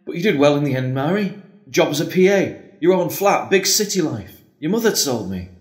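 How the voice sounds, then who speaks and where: An actor playing an earnest, pleading priest torn by an old love in an audio drama. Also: male voice, on the high side, sing-song, normal speed. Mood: probing. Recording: roomy, echoing space.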